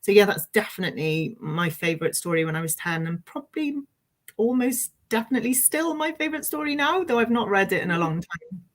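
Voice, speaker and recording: A woman's voice: medium pitch, expressive, brisk. Woman, guest author, mid-range voice, informal interview chat, enthusiastic, self-deprecating.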